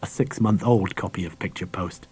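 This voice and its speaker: A man's voice: deep voice, moderate pace, darkish tone, breathy edge, no room sound. A man reading a children's story aloud as a scripted audiobook narration. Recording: dry cassette audiobook transfer.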